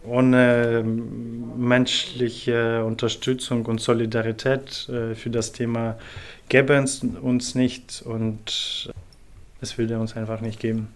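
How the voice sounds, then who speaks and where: A young man from Ukraine speaks as an interview guest, slowly and with little rise and fall in his voice. He pauses often and searches for words. His pitch sits in an ordinary middle range. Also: little pitch movement. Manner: slow, measured, many pauses and fillers. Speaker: interview guest, volunteer organizer. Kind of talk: thoughtful interview answers.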